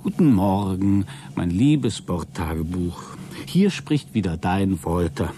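A man's voice: low voice, sing-song, slow, mellow, slight background noise, heavily acted. An actor playing a smug, lecherous, delusionally upbeat male astronaut in a satirical science-fiction radio drama, pompous and wheedling in his grand speeches. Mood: grandiloquent, cheery.

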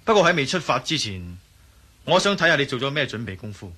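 An actor playing a cocky French viscount and desert guide in a Cantonese radio drama, speaking Hong Kong Cantonese with a blunt, self-assured manner. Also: male voice, mid-range voice, very animated, quick pace, pushed and bright, breathy edge, exaggerated voice.

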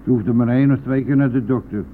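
A man's voice: mid-range voice, fairly even intonation, fast, close to an everyday voice. An actor playing a chatty, coarse, self-pitying, hard-drinking bus driver who needles his passenger in a colloquial radio-drama style. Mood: rambling, self-pitying. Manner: garrulous, jovial, needling, colloquial. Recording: tinny, band-limited, noisy old radio-play recording.

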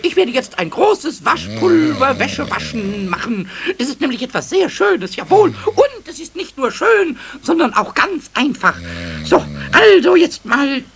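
An actor playing a cheeky, stubborn little kobold in a children's radio play, childlike and boastful, whiny and excitable, repeating words for emphasis. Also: male voice, very high-pitched, a little slow, creaky, full character voice. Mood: defiant, boastful. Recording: noisy 1970s radio play recording.

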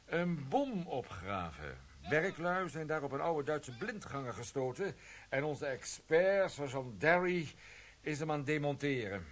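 An actor playing a cheerful, chatty police desk officer in a radio play, breezy and gossipy. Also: male voice, on the high side, sing-song, a little slow. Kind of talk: broadcast radio play acting.

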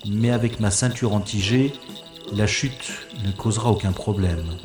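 A man voicing a wry secret agent who murmurs his way through a manor at an unhurried pace, his low voice carrying a dry irony. The sound rings with a long, roomy reverb, and crickets chirp faintly behind him. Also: over music, lightly acted. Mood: wary. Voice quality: dry, ironic inner monologue. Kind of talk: audio drama first-person narration. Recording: echoey room with long reverb.

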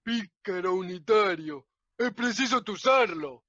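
A man acts out a cruel mob member in a radio drama, with an extremely high, sharp voice. The taunts come close and dry on a dull recording. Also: animated, a little slow, dry recording, heavily acted. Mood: cruel taunting.

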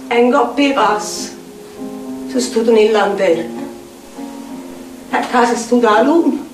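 Echoey far-off stage sound, woman playing tormented neapolitan outcast, slow dramatic chanting delivery, theatre monologue. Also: on the high side, close to an everyday voice. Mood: desolate, searching. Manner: incantatory, dramatic, repetitive phrasing. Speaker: stage actress. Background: plucked guitar music.

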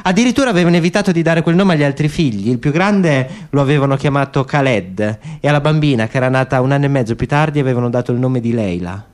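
A man's voice: mid-range voice, animated, fast, hardly any pauses, darkish tone. A man giving a dramatic literary reading as a narrator in radio theatre. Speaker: narrator, actor.

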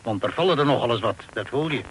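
A man's voice: mid-range voice, expressive, fast talker, a bit bright, lightly acted, low-quality recording. A man acting a talkative, folksy Dutch sea captain of forty-five in an old radio play. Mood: steady, reassuring. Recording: old radio recording with hiss.

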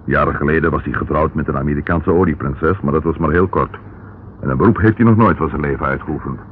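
An actor playing a dry, sceptical Scotland Yard inspector in a Dutch radio detective drama, with a measured way of talking. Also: male voice, very deep voice. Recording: tinny, band-limited, noisy old radio recording.

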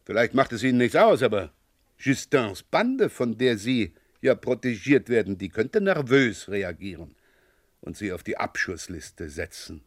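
An actor playing a sardonic, persistent police inspector in a vintage radio crime drama, speaking in an ironic, deliberate way. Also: male voice, fairly low pitch, big pitch swings, no room sound, clean studio sound. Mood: stern, threatening.

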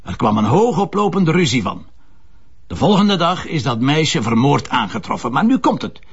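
An actor playing a headstrong, defensive police commissioner in a crime drama, with a Flemish accent and a colloquial, insistent way of talking. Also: male voice, a little high, very animated, normal speed, slightly rough, dull audio, exaggerated voice. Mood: matter-of-fact. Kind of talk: naturalistic TV crime drama dialogue.

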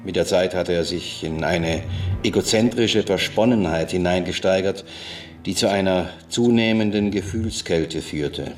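Formal, slightly distant lowish male reader. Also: measured pace. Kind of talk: formal reading of an expert report. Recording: slightly distant, faint music behind.

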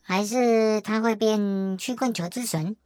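A woman's voice: mid-range voice, fairly even intonation, a little slow, a little mellow, clear, resonant tone, dry recording, studio quality, natural voice. A woman voicing a wry co-listener who makes teasing, blunt remarks.

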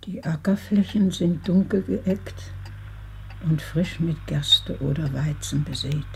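Detached village narrator, slow, medium pitch, calm, descriptive radio play, faint background noise. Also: creaky, rough recording.

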